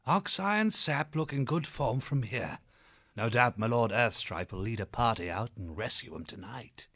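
A man's voice: medium pitch, expressive, normal speed, sharp tone, breathy edge, dry recording, clean studio sound. Man playing a jolly hare officer. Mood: reassuring. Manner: chummy upper-class officer slang.

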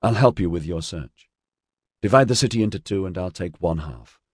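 A man's voice: sits low, expressive, normal speed, slightly rough, no echo, studio quality. A man voicing a methodical, businesslike female investigator in an audiobook.

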